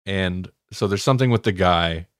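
A man's voice: sits low, moderate pace, a bit bright, dry recording, studio quality. A man hosting a podcast, chatting casually as he reviews stories. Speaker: podcast host.

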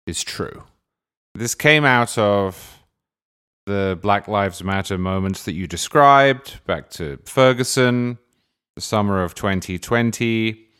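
Smooth, low-voiced male interviewer. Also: a bit bright. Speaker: podcast interviewer. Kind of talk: interview questioning.

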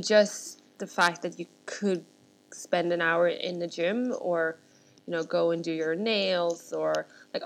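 Woman, guest founder, lowish voice, rambling casual delivery. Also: creaky, poor audio. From Sweden. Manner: chatty, rambling with many restarts. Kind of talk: casual interview chat.

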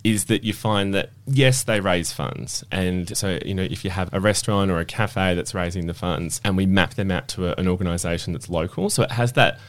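A man's voice: low-pitched, brisk, hardly any pauses, no echo. A man explaining his fundraising work earnestly on a podcast. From Australia. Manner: earnest, explanatory, starts with "so look". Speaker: guest, fundraising manager. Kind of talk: podcast interview.

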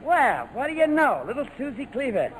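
An actor playing a brash, big-mouthed American sergeant, slangy and jovial, in 1940s radio style. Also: male voice, very high voice, sing-song, normal speed. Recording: noisy old 1940s radio broadcast.

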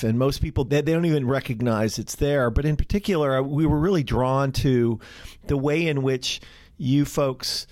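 A man's voice: medium pitch, darkish tone, no echo, clean studio sound. A man co-hosting an economics podcast, introducing guests in an earnest, wry way. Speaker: podcast co-host. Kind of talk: podcast interview.

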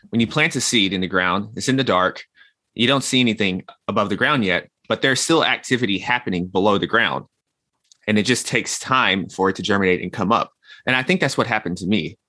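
A man in his thirties with a lowish voice and a bright, forceful edge to it. He talks as an interview guest and works through his points with homely comparisons. The sound is close and dry. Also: dry recording. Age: 30s. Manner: reflective, uses everyday analogies. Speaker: guest, health consultant. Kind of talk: conversational interview.

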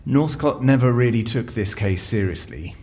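A man's voice: medium pitch, animated, moderate pace, darkish tone, natural voice. A man playing a sarcastic, jumpy police investigator in an audio drama, with a hesitant delivery. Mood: resigned.

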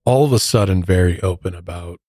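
A man's voice: sits low, fairly quick, darkish tone, dry recording, studio quality, soft background music. A man hosting a podcast, telling a true crime story in a conversational way. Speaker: podcast host.

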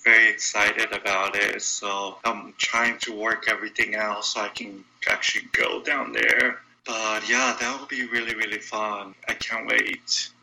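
A man's voice: lowish voice, flat delivery, unhurried, vocal fry, few pauses, sharp tone, rough recording. A young man from Brazil with a slight Brazilian accent, talking earnestly and candidly as an interview guest. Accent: Brazilian Portuguese. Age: young adult. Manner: earnest, candid, speaks in short repeated phrases. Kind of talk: interview conversation.

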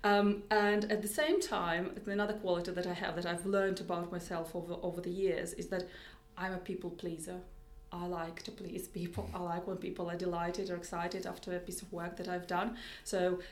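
A woman's voice: lowish voice, little pitch movement, moderate pace, hardly any pauses, roomy sound. A woman with a Russian accent, a tech executive guest on a podcast, speaking thoughtfully with many restarts and repeated words. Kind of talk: podcast interview.

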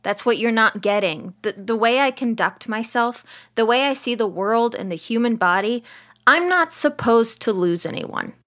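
An actress playing a driven, guilt-haunted ER doctor in an audio drama, speaking in a deliberate, confessional way. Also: female voice, on the high side, animated, fairly bright tone. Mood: defensive, subdued. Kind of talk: audio drama acting, first-person narration and dialogue.

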